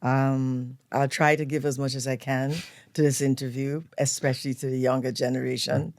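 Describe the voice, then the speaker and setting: An older woman with a Trinidadian accent, a guest telling stories of her upbringing in a relaxed, unhurried way. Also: extremely low pitch, dry recording, studio quality. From Trinidad and Tobago. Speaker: guest, event planner. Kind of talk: relaxed storytelling interview. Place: radio studio.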